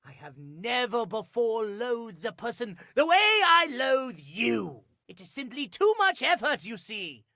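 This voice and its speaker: A man's voice: very high voice, very animated, unhurried, creaky, hardly any pauses, pushed and bright, no room sound, clean studio sound, full character voice. An actor voicing a fussy, prim male clock obsessed with schedules, speaking formally and precisely in a theatrical, old-fashioned way for a video game. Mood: furious, disdainful. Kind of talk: video game character acting.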